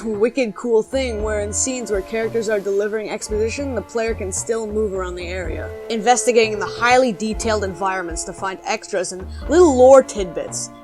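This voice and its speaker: A woman's voice: medium pitch, a little mellow. A young woman delivering scripted but casual video game commentary, slangy, sweary and opinionated. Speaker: video essay narrator.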